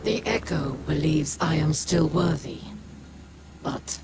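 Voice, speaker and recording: A proud, weary alien exile speaks slowly and deliberately, with loud music behind her. She is a bitter female leader from a video game, formal and solemn in every phrase. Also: low voice. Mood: weary, reflective. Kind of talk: video game character monologue.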